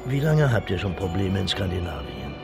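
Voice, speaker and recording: An eccentric prophet-like guide in a virtual world. The voice is gravelly and wildly animated, swinging theatrically from hushed to shrill, as in a science fiction radio drama with music behind it. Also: male voice, normal speed, heavily acted. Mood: solemn, probing. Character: Farin, eccentric calm virtual-reality guide and prophet-like host. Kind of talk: German radio play acting.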